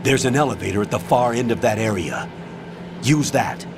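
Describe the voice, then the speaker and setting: An actor playing a stern, authoritative military commander in a video game, giving a measured, clipped mission briefing. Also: male voice, mid-range voice, noisy background, close to an everyday voice. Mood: businesslike, commanding. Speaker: commanding officer. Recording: loud music and effects underneath.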